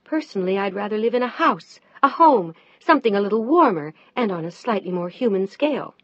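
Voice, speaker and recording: A woman voicing a fierce, upset wife, loud, sharp and near tears. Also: mid-range voice, expressive, brisk, no echo, lo-fi sound, close to an everyday voice. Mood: angry.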